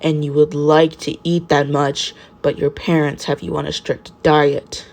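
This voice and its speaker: A woman voicing a tired, stern male teacher in a story, with a deadpan, deliberate delivery. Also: low-pitched, vocal fry. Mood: weary, firm.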